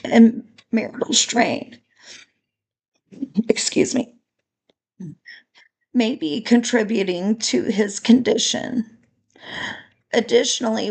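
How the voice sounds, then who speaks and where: Slow raspy-voiced woman reading a case study. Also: fairly high pitch, low-quality recording. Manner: slow, careful reading with small restarts. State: raspy voice from illness.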